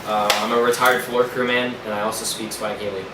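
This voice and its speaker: A man's voice: on the low side, little pitch movement, a little fast, noisy background. A teenage boy co-hosting a podcast in casual, joking group chat. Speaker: podcast co-host. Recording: roomy, distant mic, loud music.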